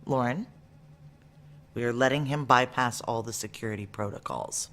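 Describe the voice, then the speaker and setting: A woman's voice: a bass voice, normal speed, fairly bright tone, a little hiss or hum. Tough ex-ranger woman, security boss, clipped and wry, noir audio drama. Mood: firm, commanding. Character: tough, blunt female ex-Army Ranger security chief.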